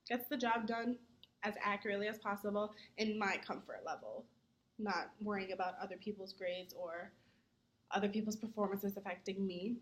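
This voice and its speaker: A young adult woman, a student, giving earnest answers in a mock interview, often pausing on "um". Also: mid-range voice. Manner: earnest, many "um" fillers; says she talks fast when nervous.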